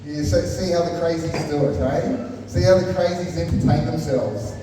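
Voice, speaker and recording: A man's voice: fairly high pitch, moderate pace. A man hosting a comedy night. He teases the audience in chatty banter and stumbles over repeated words. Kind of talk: live stand-up MC banter with the crowd. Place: large hall at a live comedy night. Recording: echoey hall from far off, crowd noise. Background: audience in the room.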